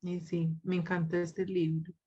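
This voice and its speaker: A woman's voice: on the low side, level tone, a little slow, mellow. Chatty woman on dull call audio. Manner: chatty, laughs through anecdotes. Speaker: reading club participant. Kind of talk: casual sharing in a group.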